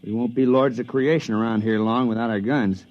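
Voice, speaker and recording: An actor playing a cautious, practical, worried companion on a stranded expedition, in mid-century radio drama style. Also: male voice, mid-range voice, fairly even intonation, fairly quick, natural voice. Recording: dull vintage radio recording.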